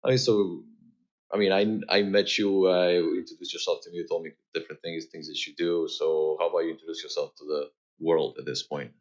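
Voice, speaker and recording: A man's voice: low-pitched, level tone, fairly quick, muffled recording. A man hosting a podcast, interviewing in a casual, informal way and often repeating words as he goes. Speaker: podcast host.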